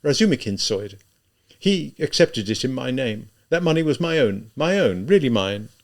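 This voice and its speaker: A man voicing a tormented, spiteful young murderer in an audiobook, halting and emphatic as he reproaches himself. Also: medium pitch, sing-song, voice pushed up. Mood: agitated, insistent.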